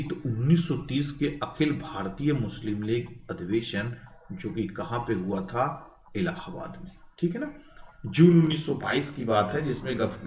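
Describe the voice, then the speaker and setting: Echoey distant recording of a guy teaching quiz questions, asks then answers, keeps checking in. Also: medium pitch. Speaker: history teacher for exam preparation. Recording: echoey room, distant microphone.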